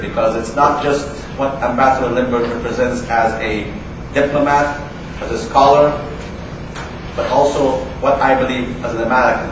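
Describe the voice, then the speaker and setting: A man's voice: mid-range voice, noisy background. Guy introducing a lecture speaker, persian is his first language, lots of uhs and restarts, far from the mic in a big echoey hall. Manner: halting, many fillers and restarts.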